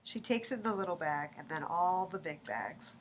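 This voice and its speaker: A woman's voice: fairly low pitch, expressive, moderate pace, slight background noise, low-quality recording. A woman reading out stage directions in scripted narration for a staged play reading.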